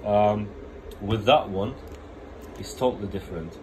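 A man's voice: on the low side, a little slow, background noise. A man with a cold explaining a phone repair at his workbench in a casual, plain way. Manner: plain, explanatory, some restarts. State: has a cold. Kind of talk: casual hands-on repair demo. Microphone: phone camera held over bench.

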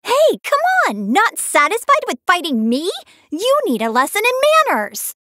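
A woman's voice: extremely high pitch, wildly swooping pitch, measured pace, sharp tone, no room sound, studio quality, exaggerated voice. An American actress voicing a feisty, confident teenage girl martial artist with brash, emphatic delivery for an anime fighting game. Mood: confident, friendly.

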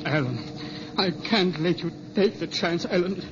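A worried, protective elderly father in an old radio drama. His voice sits fairly high and pleads haltingly. The vintage recording is dull and clearly noisy. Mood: anxious, pleading. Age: older. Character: anxious, protective elderly father, a naive scientist. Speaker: radio actor. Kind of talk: 1950s radio drama acting.

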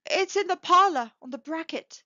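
A woman's voice: very high-pitched, very animated, a little slow, a bit bright, no room sound, muffled recording, voice pushed up, heavily acted. A woman reading an audiobook plays a fearful, tender old husband with an unsteady, faltering delivery. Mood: puzzled. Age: older. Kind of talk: dramatic audiobook character reading.

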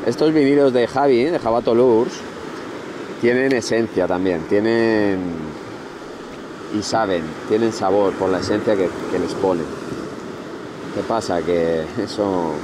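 A man's voice: lowish voice, background noise. A man with a Spanish accent, fishing from a kayak and chatting to his viewers as he explains his technique. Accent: Spanish from Spain. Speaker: fishing vlogger. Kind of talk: casual on-the-water commentary. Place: outdoors on a kayak at sea.

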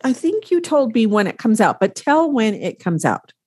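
A woman's voice: a little high, sing-song, normal speed, a little mellow, slightly rough, no echo. A middle-aged woman hosting a podcast interview over a video call, enthusiastic and encouraging. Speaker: podcast host.

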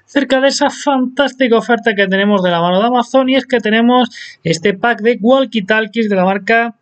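Young male host with a Spain Spanish accent, high and smooth, upbeat sales-pitch delivery over a screen recording. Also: a little fast. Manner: upbeat promotional sales patter. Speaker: deals channel host. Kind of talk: screen-recorded product walkthrough.

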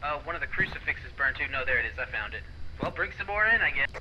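A man's voice: mid-range voice, sing-song, fast talker. A man chatting casually and jokingly as a co-op gaming partner over voice chat. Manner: joking, swearing. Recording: muffled voice chat, game noise.

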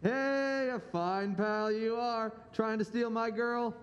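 A man's voice: fairly even intonation, slow pace, dull audio. A young man playing a brash, jovial businessman in a live radio play. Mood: jovial, teasing. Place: large auditorium stage, standing at mic. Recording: reverberant auditorium, noisy rumble.

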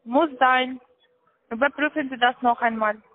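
High female voice, foreign-accented German, insistent and halting, call centre agent, tinny phone line. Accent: foreign, non-native German. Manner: insistent, halting, repetitive instructions. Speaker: call centre agent selling a trading account. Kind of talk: phone call giving step-by-step instructions.

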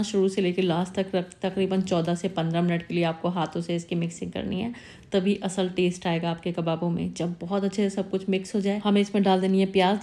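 A woman calmly narrating a step-by-step cooking recipe as the host of her channel, repeating tips patiently. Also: lowish voice.

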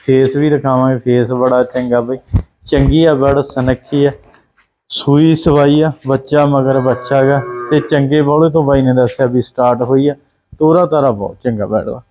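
A man with a Punjabi accent hosting a livestock sale video outdoors on a dairy farm, running through each cow's traits in quick rhyming checklists. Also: medium pitch, steady tone, mellow, slight background noise, poor audio. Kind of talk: casual livestock sales commentary.

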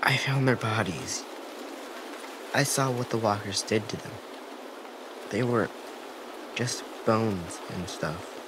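A man voicing a timid, guilt-ridden gentle young boy in a video game, hesitant and apologetic. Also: on the low side, takes it slowly, long pauses, background noise. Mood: sad, subdued. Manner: hesitant, soft-spoken, apologetic. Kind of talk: naturalistic video game dialogue acting. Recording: clear outdoor stream ambience.

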